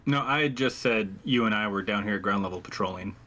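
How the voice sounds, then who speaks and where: A man's voice: on the low side, brisk, sharp tone, a little hiss or hum. A man chatting casually as a player in a tabletop roleplaying game.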